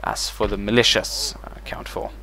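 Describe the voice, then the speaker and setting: A man's voice: fairly low pitch, moderate pace, a crowd in the background. A man hosting a let's play, talking through his strategy game moves as he thinks them over. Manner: thinks aloud while managing a strategy game. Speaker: gaming channel host.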